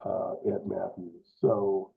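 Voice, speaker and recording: Staff man by phone, low husky voice, tinny line, halting. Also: completely flat delivery. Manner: halting, many fillers. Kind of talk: meeting remarks.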